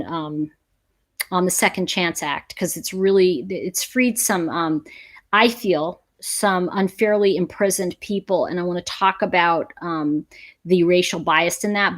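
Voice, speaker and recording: A middle-aged American woman hosting a casual livestream chat, talking emphatically and conversationally and repeating phrases for stress. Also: lowish voice. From United States. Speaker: livestream host; teacher. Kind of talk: casual livestream chat, reading and answering viewer comments.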